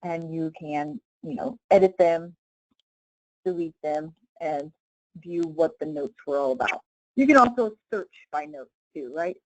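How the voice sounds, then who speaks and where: A woman leading a casual live software tutorial as a trainer, explaining step by step in an informal way with frequent check-in questions. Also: deep voice, a little slow, no room sound, muffled recording.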